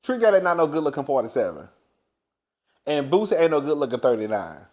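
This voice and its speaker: A man in his thirties hosting a gossip vlog, chatty and animated, laughing often as he talks. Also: fairly high pitch, fairly quick. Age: 30s. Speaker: host.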